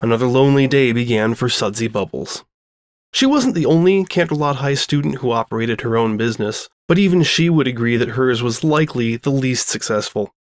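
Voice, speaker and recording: A man's voice: mid-range voice, expressive, a little fast, dry recording, studio quality. A man reading a scripted story as its narrator. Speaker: narrator reading fan fiction.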